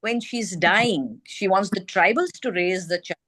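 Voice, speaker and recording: A woman's voice: mid-range voice, a little slow, a bit bright, no echo, low-quality recording. A woman with an Indian accent sharing her opinions in an informal group discussion as one of the participants. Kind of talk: informal book club discussion.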